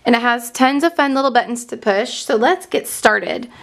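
A woman reading a children's storybook aloud in an excited, expressive manner and enunciating for young listeners. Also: a little high, normal speed, vocal fry.

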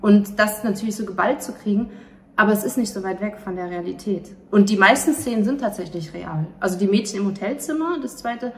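A woman's voice: medium pitch, fairly quick. A woman in her thirties speaking emphatically and earnestly in a casual interview. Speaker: playwright and actor, interview guest. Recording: echoey room, faint ambient noise.

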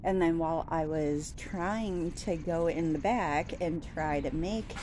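A woman's voice: deep voice, slow, warm tone. A woman in her thirties chatting casually on a walk-and-talk vlog, rambling with lots of fillers and restarts, filmed on a phone at arm's length outside a store. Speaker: vlogger. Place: outdoors by a store, daytime. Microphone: phone held at arm's length. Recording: outdoor phone recording with traffic noise.

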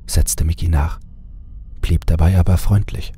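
A man narrating a scripted horror story in a measured way, with dramatic pauses. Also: low voice, fairly even intonation, warm tone, breathy edge.

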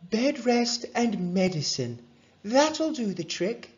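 A narrator voicing a brisk, kindly woman doctor in a children's story. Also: medium pitch, very animated, darkish tone, highs cut off, voice pushed up. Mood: brisk, reassuring.

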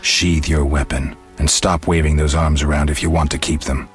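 An actor playing a stoic, world-weary monster hunter in a fantasy game, terse and deadpan. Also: male voice, rumbling low, normal speed, faint music behind. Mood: cool menace. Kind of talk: fantasy video game dialogue.

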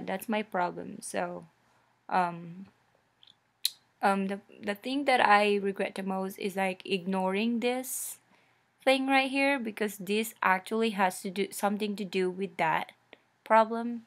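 A woman's voice: mid-range voice, a bit bright. A young woman whose first language is Filipino, chattily narrating a screen-recorded tutorial with many fillers and restarts. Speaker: YouTube tutorial host.

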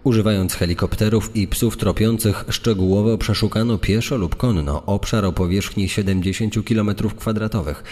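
A man's voice: on the low side, brisk, hardly any pauses, darkish tone, lightly acted. A man playing a formal official reading out a factual report in a true-crime podcast dramatization. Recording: long hall reverb.

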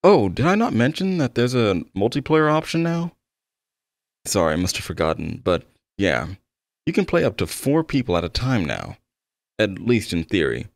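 A man acts out an eager male game developer in an intimate audio roleplay. His voice is low and swings expressively as he talks attentively, a little awkward and quick to apologize. The sound is close and dry. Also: very animated, creaky, dry recording. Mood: attentive, helpful. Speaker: roleplay voice actor. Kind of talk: ASMR-style roleplay addressed to the listener.

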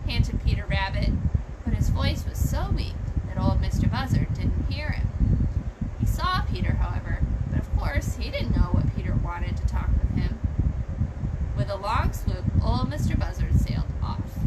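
A middle-aged woman reading a children's storybook aloud in an expressive, deliberate way. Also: mid-range voice, very noisy. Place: small room at home, indoors.

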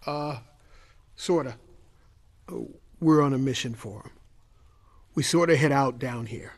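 An actor playing a hesitant, placating survivor in an audio drama, answering briefly and haltingly. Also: male voice, medium pitch, lightly acted. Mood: hesitant, subdued. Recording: music behind the voice.

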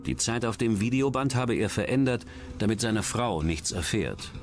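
Low-voiced man narrating true crime. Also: lively intonation, moderate pace. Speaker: documentary narrator. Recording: music bed under the voice.

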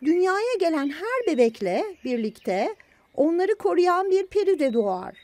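A woman voicing a cheerful, wide-eyed little girl in a children's cartoon, with expressive delivery. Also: very high-pitched, unhurried, dry recording, heavily acted. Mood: bright, explanatory. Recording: music bed behind the voice.

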